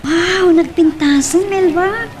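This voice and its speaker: A woman with an Ilocano accent playing an eager, expressive mother working abroad as a maid in an amateur audio drama. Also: very high-pitched, takes it slowly. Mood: excited, curious. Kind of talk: amateur Ilocano radio-style drama acting. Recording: distant, off-mic home recording.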